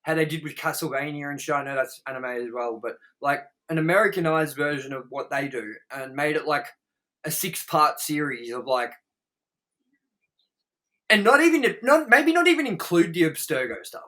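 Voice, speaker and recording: A man with a bright, forward voice talks through an opinionated review as a podcast host. His delivery is casual and rambling, blunt and peppered with swearing. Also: on the high side, lively intonation, moderate pace.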